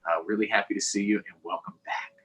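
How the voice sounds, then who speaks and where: A man hosting a storytime for children, reading aloud and chatting directly to young viewers in an expressive way. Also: on the low side, fast talker, slightly rough. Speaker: storytime host, councilmember. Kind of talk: children's read-aloud and live chat.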